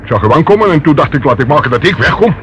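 A high-pitched, jittery small-time crook from a radio drama. He stammers and whines defensively. The old recording is clearly noisy. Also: very animated, very fast, a bit bright. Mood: nervous, defensive. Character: nervous, evasive male petty crook. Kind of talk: radio play acting.

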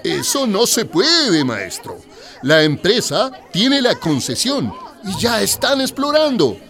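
A man's voice: sits high, huge pitch swings, takes it slowly, a bit bright, some chatter behind, exaggerated voice. An actor playing a resigned, placating village husband and father in a radio drama.

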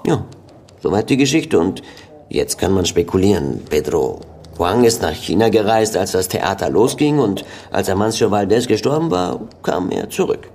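A man's voice: low voice, lively intonation, moderate pace, darkish tone. An actor playing a matter-of-fact policeman giving a clipped, official report in a radio drama. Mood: factual, speculating. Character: matter-of-fact male police colleague briefing a detective.

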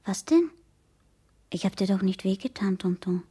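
A woman's voice: medium pitch, expressive, moderate pace, dark tone, natural voice. An actress playing a cheeky, boisterous teenage girl in a 1970s German radio play. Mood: soft, subdued. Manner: impish, exclamatory.

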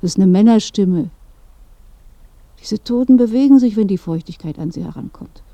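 A woman's voice: medium pitch, moderate pace, no echo, natural voice. An actress playing a dead old woman in a radio play, chatty, knowing and inquisitive. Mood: knowing, confiding. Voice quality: dark, creaky. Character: dead old woman, curious gossip in a grave. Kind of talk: radio drama dialogue.

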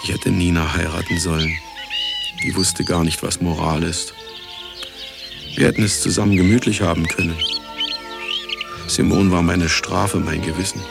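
An older scientist with a very low, gravelly voice. He is jaded and wry, narrating his regrets and defending himself in a radio play, with music behind him. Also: level tone, moderate pace, pauses often. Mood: regretful, reflective. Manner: wry, dismissive, reflective. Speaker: actor and first-person narrator in a radio play.

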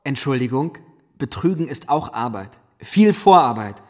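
A man's voice: medium pitch, expressive, moderate pace, darkish tone, lightly acted. An actor playing an unrepentant male swindler who explains himself pedantically in a radio play. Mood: smug lecturing. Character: self-justifying male swindler, lecturing and unrepentant. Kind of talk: German radio play acting. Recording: reverberant hall.

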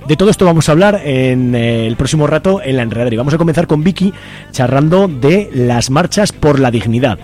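A man's voice: medium pitch, expressive, a little fast, a little mellow, faint music behind. A man with an accent from Spain hosting a radio interview in an informal, explanatory way, often adding tag questions. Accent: Spanish from Spain. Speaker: radio host.

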